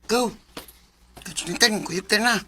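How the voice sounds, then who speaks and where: A man's voice: high-pitched, takes it slowly, loud music behind the voice. An actor playing Lotlot, a cheerful village youth who jokes with his friends in a radio drama. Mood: friendly. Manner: friendly and persuasive, bantering.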